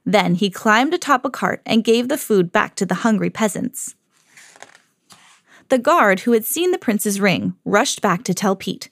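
A mother hosting her own podcast, reading a children's storybook aloud with lively jumps for the quoted lines. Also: medium pitch, unhurried, no echo, clean studio sound. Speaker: mom reading to her kids, podcast host.

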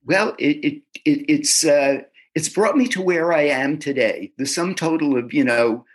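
A man being interviewed as an author, answering in a casual, halting way and often repeating words as he starts a sentence. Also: a little high, husky. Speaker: author guest.

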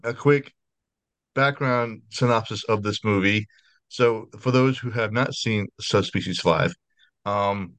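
A man's voice: lowish voice, a bit bright, no echo, rough recording. A man hosting a horror podcast interview over a video call, chatty and apologetic, often restarting his sentences. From United States. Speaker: podcast host.

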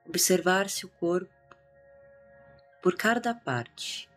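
Slow, low, husky Brazilian woman guiding meditation. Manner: calm, hushed, with long pauses. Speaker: meditation guide, integrative therapist. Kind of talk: guided meditation. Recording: music behind the voice. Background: meditation music.

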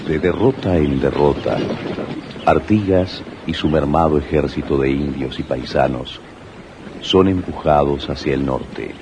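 A man's voice: rumbling low, a little slow. A man narrating a historical radio drama as a solemn storyteller. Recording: noisy early 1980s radio recording.

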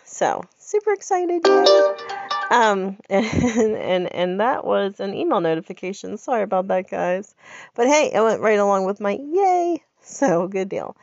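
A woman's voice: mid-range voice, big pitch swings, a little slow, gravelly, muffled recording, faint music behind. A woman, congested from a head cold, chatting in a rambling, upbeat way as a podcast host. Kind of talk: casual personal chat.

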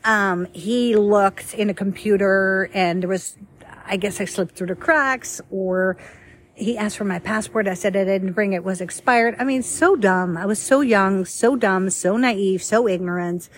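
A middle-aged woman from the Netherlands, casually telling her own life story in a chatty, lively way. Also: mid-range voice, a little slow, a little hiss or hum. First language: Dutch. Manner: chatty and lively, acts out quoted dialogue.

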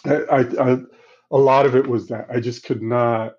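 Man, middle-aged, mellow dark tone, halting restarts, slightly dull lo-fi sound. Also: medium pitch, flat delivery, slightly rough. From Congo, Central Africa. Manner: halting, many restarts and fillers. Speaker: guest. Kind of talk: conversational interview answers.

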